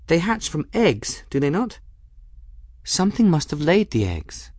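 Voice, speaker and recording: A man's voice: on the high side, sing-song, a little slow, a little mellow, dry recording, clean studio sound, pitched above the performer's own voice. A man voicing a brave, practical young girl with an earnest, formal and firm manner in a storybook reading.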